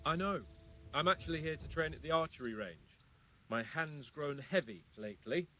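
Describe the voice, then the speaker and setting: An actor playing a haughty young lord in a medieval video game, sneering with affected politeness. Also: male voice, fairly high pitch, expressive, moderate pace, bright, forceful tone, soft background music. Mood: mocking. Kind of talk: video game drama acting.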